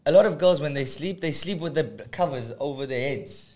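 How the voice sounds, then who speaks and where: A man's voice: a little high, expressive, brisk, darkish tone. A man from Johannesburg with a South African accent, bantering and joking as a podcast co-host. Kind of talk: casual chat.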